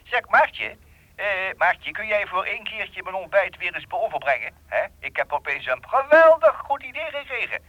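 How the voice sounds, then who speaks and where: An actor playing an eager, absent-minded older professor, hesitant and bumbling, heard through an intercom in a children's radio play. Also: male voice, high voice, sing-song, moderate pace, dry recording. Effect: intercom phone filter. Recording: dull, band-limited intercom line.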